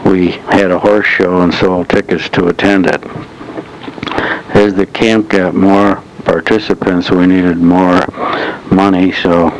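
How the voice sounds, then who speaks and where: An elderly man recounts local club history in measured, halting phrases, as in a slow oral history. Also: deep voice. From United States, upstate New York. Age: 70s or older. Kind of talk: slow oral history reminiscence, partly as if from notes. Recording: noisy old reel-to-reel tape transfer.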